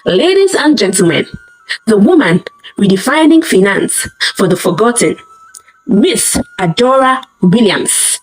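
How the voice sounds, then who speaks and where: A woman's voice: mid-range voice, big pitch swings, measured pace, faint music behind, poor audio. Grand proclaiming event announcer, played by a woman. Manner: formal, proclaiming. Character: grand event announcer introducing a guest of honour.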